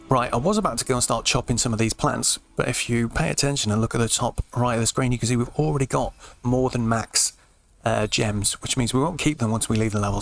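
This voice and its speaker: A British man giving laid-back, explanatory let's play commentary in an informal way, his voice slightly croaky. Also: mid-range voice, a little hiss or hum. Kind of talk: casual game commentary.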